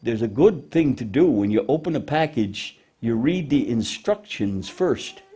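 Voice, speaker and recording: A man's voice: mid-range voice, lively intonation, a little slow, warm tone, breathy edge. A man hosting a children's puppet show, talking in a folksy, instructive way as he gives simple moral lessons.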